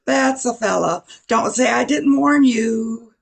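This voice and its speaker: An older woman playing a brisk, self-assured, wry radio newsroom boss in a 1940s-style radio comedy, with a creaky, husky voice. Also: a little high, a little hiss or hum. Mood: teasing, wry.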